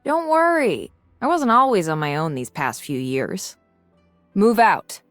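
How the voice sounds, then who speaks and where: A woman voicing a mature, resolute and idealistic swordswoman in a video game, composed and earnest in her measured delivery. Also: mid-range voice, very animated, a bit bright, dry recording, faint music behind. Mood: steady, determined. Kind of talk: scripted video game character lines.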